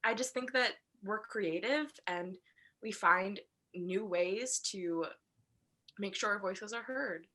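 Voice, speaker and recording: A woman in her twenties speaks casually as a podcast guest. Her tone is bright and forceful, at an average pitch. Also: slow. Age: 20s. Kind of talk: casual chat.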